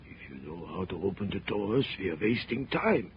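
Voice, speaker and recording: An animated male adventurer, impatient and excitable. His pitch jumps about in lively swings on a muffled, slightly noisy old radio drama recording. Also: normal speed. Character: impatient, gruff German treasure hunter; also an excitable Frenchman. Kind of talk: 1930s radio adventure serial acting.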